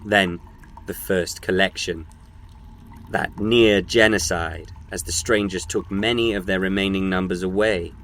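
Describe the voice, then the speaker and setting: A man narrating a scripted audio drama story in a solemn, measured way. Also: low-pitched, fairly even intonation, a bit bright, slight background noise. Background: music.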